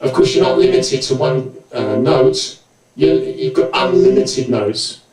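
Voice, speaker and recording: A man's voice: medium pitch, unhurried. A man demonstrating music gear, speaking through a synth-driven vocoder effect. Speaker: tutorial presenter. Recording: echoey room, loud synthesizer tones.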